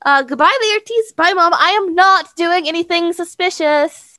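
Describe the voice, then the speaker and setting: A young noblewoman acted by a woman talks in a high, bright voice. She is naive yet defiant, delivering flowery lines with dramatic earnestness in a fantasy tabletop drama. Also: unhurried, no echo, clean studio sound, full character voice. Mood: evasive.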